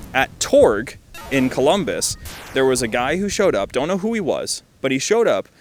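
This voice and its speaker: A man's voice: mid-range voice, a little hiss or hum. A man giving casual, enthusiastic video game commentary as a host, stressing points by repeating words.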